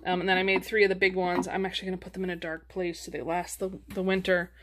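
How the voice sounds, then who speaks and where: A woman's voice: lowish voice, flat delivery, quick pace, fairly bright tone. A woman giving a casual, unscripted show-and-tell as a home vlogger, rambling and chatty with self-deprecating asides. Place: indoors at home. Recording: clear background noise, handling clicks.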